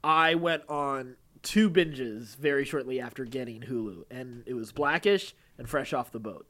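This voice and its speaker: A man's voice: mid-range voice, measured pace, a bit bright. A man co-hosting a casual nerd-news podcast, chuckling and easygoing, with stop-and-start phrasing. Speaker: podcast host. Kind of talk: casual nerd-news chat with some read-out news items.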